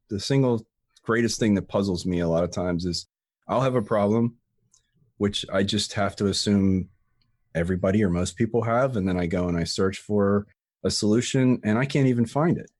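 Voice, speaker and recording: A man with a fairly low voice speaks in a loose, conversational way, circling back and restarting his sentences often. The sound is dry and close, with no room around it. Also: moderate pace, darkish tone, dry recording. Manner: rambling with frequent restarts and fillers. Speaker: guest, tutorial creator. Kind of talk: casual interview chat.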